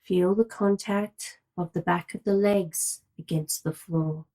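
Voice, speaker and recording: Woman, meditation guide, lowish voice, very slow pace, calm and soothing, long pauses. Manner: calm, measured, soothing delivery. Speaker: yoga nidra meditation guide.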